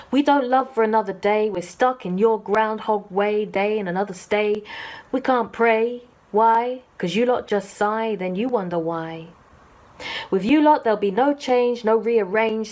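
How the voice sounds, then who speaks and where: A woman's voice: medium pitch, darkish tone. A woman performing rhymed spoken-word poetry in punchy, rhythmic phrases.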